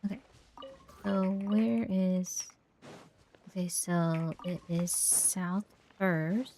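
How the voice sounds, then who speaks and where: Slow, mellow woman casually commenting on gameplay. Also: fairly low pitch, a little hiss or hum. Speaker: game streamer.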